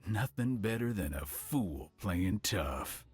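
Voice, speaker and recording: A low, husky voice for a roguish card sharp in a video game. He talks smoothly and slyly, sure of himself, over a light bed of music. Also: expressive, slow pace, dry recording, heavily acted. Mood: confident, teasing. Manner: smooth, sly, self-assured. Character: smooth-talking, confident, roguish male card-sharp gambler and cheat. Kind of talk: video game character voice lines.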